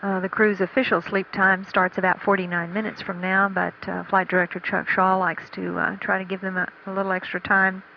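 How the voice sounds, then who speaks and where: A woman giving unscripted, matter-of-fact status commentary from mission control in a halting way. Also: mid-range voice, little pitch movement, vocal fry. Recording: dull archival radio feed with faint noise.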